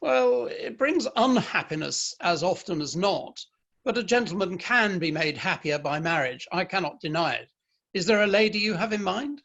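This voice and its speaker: A proud, stern Victorian father and man of letters, acted by a man in a play reading. His voice is notably high for a man. He speaks formally and emphatically, with firm opinions. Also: expressive, moderate pace, creaky, a bit bright, lo-fi sound, exaggerated voice. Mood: earnest, advising.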